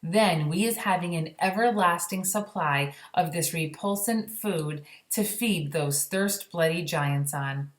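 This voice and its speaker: A man's voice: a little high, a little slow, hardly any pauses, natural voice. A woman voicing a kindly, earnest male storybook giant who speaks emphatically in jumbled, ungrammatical phrases. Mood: earnest, assured. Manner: mangled grammar, emphatic. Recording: roomy echo.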